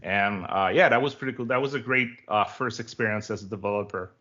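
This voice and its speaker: French canadian guy in his 40s, kinda low voice, rambly and friendly, dull lo-fi call audio. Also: brisk, bright, forceful tone. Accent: Québécois French. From Quebec, Canada. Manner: friendly and talkative, with many fillers and restarts. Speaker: guest. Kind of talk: casual interview chat.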